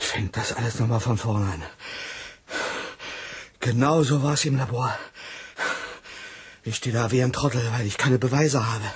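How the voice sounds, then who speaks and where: An actor playing an agitated, insistent space colonist in a 1980s German radio play, speaking urgently and theatrically. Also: male voice, medium pitch, breathy edge. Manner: urgent, theatrical, halting for emphasis. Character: agitated, insistent male space colonist convinced objects attack him.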